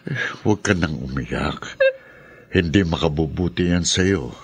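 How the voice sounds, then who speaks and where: A man playing a devoted younger brother in a Filipino radio drama, earnest and gently urging. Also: rumbling low, unhurried, creaky, husky, no room sound, exaggerated voice. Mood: consoling. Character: devoted, consoling younger brother of an ailing woman.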